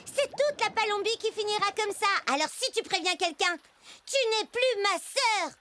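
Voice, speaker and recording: Nature-loving cartoon girl, very high childlike pitch, earnest, lecturing. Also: animated, moderate pace, noisy background. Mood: indignant. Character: idealistic girl, nature-loving younger sister. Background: background music.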